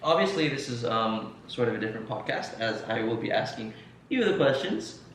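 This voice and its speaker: A man's voice: mid-range voice, animated, brisk, a bit bright, slight background noise. Chatty young man in echoey room. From United States. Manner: chatty, playful, many fillers and restarts. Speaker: podcast host interviewing his co-host. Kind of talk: casual podcast chat. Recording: echoey room, distant mic.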